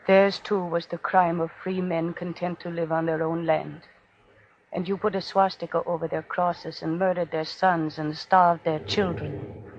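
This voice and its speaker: A woman's voice: on the low side, steady tone, measured pace. A woman playing a defiant condemned prisoner, solemnly accusing her captor in incantatory, repetitive 1940s radio drama oratory. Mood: solemn, righteous. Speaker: actor. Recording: dull, noisy old radio recording.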